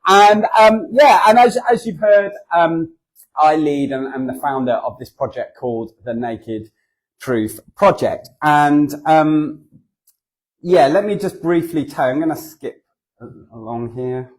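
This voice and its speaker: A man's voice: fairly high pitch, measured pace, rough recording. A man in his forties with a southern English accent, giving a humorous, self-deprecating talk at a church and acting out voices in his stories. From southern England. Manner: chatty and self-deprecating, acts out voices in anecdotes. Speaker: guest speaker.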